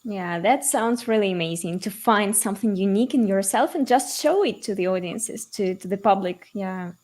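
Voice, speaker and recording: Female host of an online talk, conversational, mid-pitched voice, asks follow-up questions. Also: normal speed, a little mellow. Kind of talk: online conference interview.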